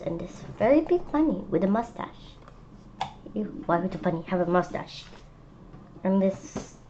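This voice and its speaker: Slow, halting woman reading aloud, slightly muffled. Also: mid-range voice. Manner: halting reading with asides and wondering questions. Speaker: read-aloud presenter. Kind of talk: children's picture book read-aloud with spontaneous comments. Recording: dull lo-fi sound with faint noise.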